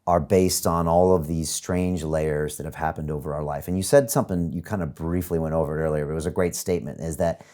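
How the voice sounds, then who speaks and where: A man with a deep, low-pitched voice hosts an interview show. He talks conversationally, reflecting ideas back and paraphrasing them in casual words as he leads into questions. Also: fairly even intonation, fast talker, few pauses, warm tone.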